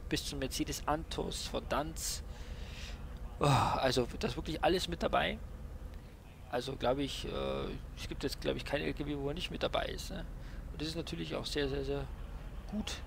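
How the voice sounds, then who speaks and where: A man's voice: medium pitch, creaky. A young man casually talking through a truck game while driving, chatty with lots of fillers and tag questions. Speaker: gaming YouTuber presenting a mod. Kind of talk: casual gameplay commentary. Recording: noisy game sound behind voice. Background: truck engine and game ambience.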